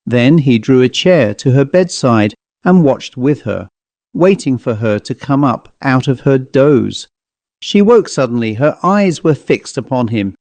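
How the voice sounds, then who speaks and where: A man narrating a classic ghost story in a measured, deliberate reading. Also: mid-range voice, animated, a little mellow, no echo. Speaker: audiobook narrator.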